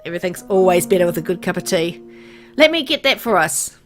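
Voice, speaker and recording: A woman's voice: on the low side, sing-song, brisk. A woman voicing a mysterious, century-old male mansion host from a visual novel, teasing and smug in a theatrical, taunting manner.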